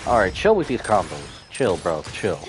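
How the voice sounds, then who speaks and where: A man's voice: low-pitched, huge pitch swings, moderate pace, background noise. A man streaming a video game, giving casual live commentary as he plays and reacts.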